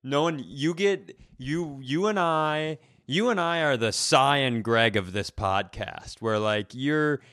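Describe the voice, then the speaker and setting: A man's voice: mid-range voice, slow pace, few pauses, fairly bright tone, no room sound, clean studio sound. A man co-hosting a comedy podcast in casual banter, exasperated and sweary, often repeating himself as he goes.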